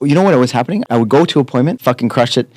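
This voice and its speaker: Fast-talking guy in his 30s, cusses a lot, dry close mic. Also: medium pitch, dry recording. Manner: blunt, profane, animated storyteller. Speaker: podcast guest. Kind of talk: casual chat.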